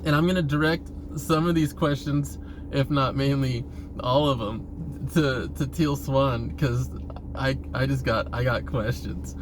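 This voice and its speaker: A man's voice: medium pitch, fairly even intonation, unhurried, noisy background. A man talking casually in a rambling monologue, hesitant and often restarting his phrases, as a vlogger posing questions.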